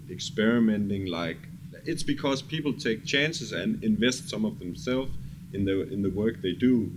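A man from Denmark with a Danish accent, talking casually and earnestly as a music producer in an interview, at discursive length with some swearing. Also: lowish voice. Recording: clear background ambient noise.

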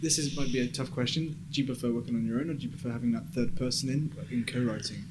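A man with a mid-pitched voice speaks at a quick pace as an interviewer. His questions are casual and a little tentative. Clear ambient noise sits behind him.

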